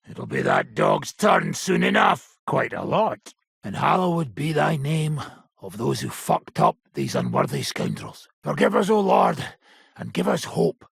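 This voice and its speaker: A man playing a gruff, foul-mouthed, menacing mercenary in a video game, with a gravelly, raspy voice and a coarse, swaggering, sardonic manner. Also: mid-range voice, wildly swooping pitch, slow pace, a bit bright, dry recording, clean studio sound, heavily acted. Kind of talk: video game character acting.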